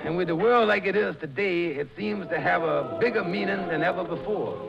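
An actor playing a gentle, devout father who patiently and tenderly explains things to his little daughter in an old-time radio drama. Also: high-pitched, fairly quick, gravelly. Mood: reverent, patient. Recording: old lo-fi radio broadcast over music. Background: choir music.

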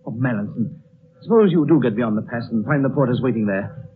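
An actor playing an earnest, thoughtful, reserved man in a 1930s radio drama, with a measured, questioning manner. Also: medium pitch, animated, close to an everyday voice. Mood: doubting, concerned. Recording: tinny, noisy 1930s radio recording.

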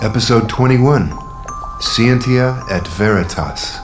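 A man formally and deliberately announcing a scripted radio play. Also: medium pitch. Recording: echoey voice under loud chiming music.

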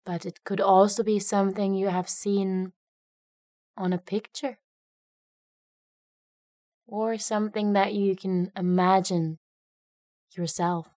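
A woman narrating a guided meditation in a gentle, unhurried way, leaving long pauses between phrases. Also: mid-range voice, level tone, no echo, studio quality.

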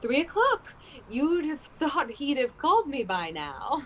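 A woman's voice: high voice, huge pitch swings, unhurried, fairly bright tone, slight background noise, full character voice. A woman playing a lovesick, anxious, clinging young woman with a hesitant, fervent manner in a classic radio suspense play. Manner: hesitant, repeating words, fervent.

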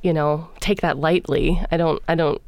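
Woman, low voice, smooth and clear, hesitant, reflective, dry sound. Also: fairly even intonation, normal speed, a little mellow, dry recording. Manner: thoughtful, searching for words with many fillers. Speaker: singer. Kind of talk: interview conversation.

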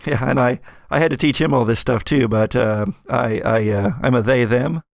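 A man's voice: medium pitch, normal speed, creaky, no room sound, clean studio sound, lightly acted. A man voicing a friendly, laid-back young nonbinary biker who talks in a hesitant, slangy, casual way. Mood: casual, awkward.

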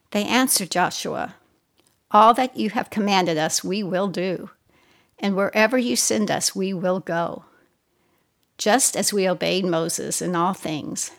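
A woman reading scripture aloud in a solemn, measured, deliberate way. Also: mid-range voice, vocal fry, no room sound, clean studio sound. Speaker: scripture reader.

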